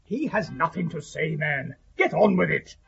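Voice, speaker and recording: An imperious official, high-voiced and very raspy, giving curt orders. His pompous, irritable radio drama delivery swings widely and takes its time, with a sharp, bright edge. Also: very animated, moderate pace, muffled recording, faint music behind, heavily acted. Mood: impatient. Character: imperious, irritable official overseeing a hanging, fearful of ridicule.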